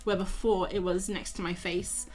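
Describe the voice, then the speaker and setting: A woman's voice: fairly low pitch, flat delivery, normal speed, darkish tone, faint music behind, low-quality recording. A young woman, tired and losing her voice, chatting casually with viewers as she paints on a live stream. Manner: chatty, self-mocking, talks to viewers while drawing. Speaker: art streamer.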